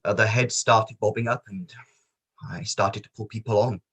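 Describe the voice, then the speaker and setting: Low-voiced actor as a sombre inquiry witness. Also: male voice, fairly even intonation, moderate pace, a bit bright, slightly rough. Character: male witness giving sombre evidence at a disaster inquiry. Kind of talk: table read of a courtroom play.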